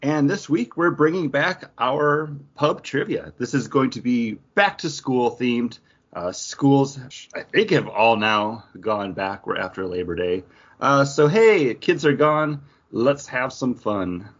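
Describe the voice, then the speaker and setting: A man's voice: medium pitch, expressive, takes it slowly, muffled recording. A man from Michigan co-hosting a podcast in a casual, bantering style. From Michigan, United States. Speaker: podcast co-host.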